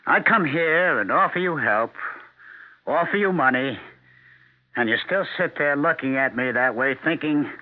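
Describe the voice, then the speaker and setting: Actor doing paranoid brooding guy, suspicious of everyone, 70s radio play, swingy pitch, thin old radio sound. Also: animated, normal speed, close to an everyday voice. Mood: accusing, bitter. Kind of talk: 1970s radio drama acting. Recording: narrowband vintage radio recording.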